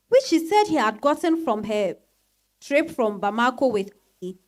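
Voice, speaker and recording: High swinging pitch, nosy confiding friend, Ghanaian accent, gossipy stage monologue. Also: female voice. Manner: confiding, storytelling. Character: gossipy, observant woman friend, mildly judgmental. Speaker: stage reader playing a character. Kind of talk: dramatized first-person monologue.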